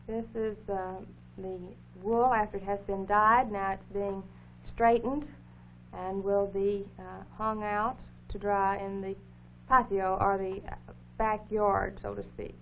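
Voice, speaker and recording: A narrow, phone-like 1950s recording with a faint hum carries a woman's voice with little rise or fall. She explains what is being shown in a careful, formal way, pausing as she finds her words. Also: medium pitch, level tone. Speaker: co-host of an educational program. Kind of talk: unscripted explanatory commentary over film footage.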